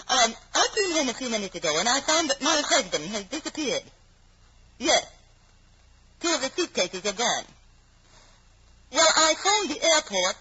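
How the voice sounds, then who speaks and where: An actress playing an anxious, evasive young wife in a halting, dramatic old radio mystery style. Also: female voice, high-pitched, sharp tone, highs cut off, a little hiss or hum, full character voice.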